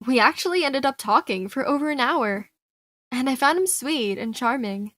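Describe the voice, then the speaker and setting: A young adult woman doing dramatic first-person storytelling for a cartoon fandub, expressive and theatrical. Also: sits high, moderate pace, music in the background. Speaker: fan dub voice actor.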